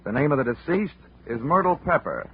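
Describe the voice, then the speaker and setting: A man's voice: a little high, brisk, loud music behind the voice. Hard-boiled male investigator: dry wit, takes charge, 1940s-style radio drama, muffled broadcast. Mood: brisk, matter-of-fact. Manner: wry, deadpan, commanding. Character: wisecracking, unflappable male insurance investigator. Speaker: detective lead and narrator. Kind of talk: old-time radio detective acting, hard-boiled narration.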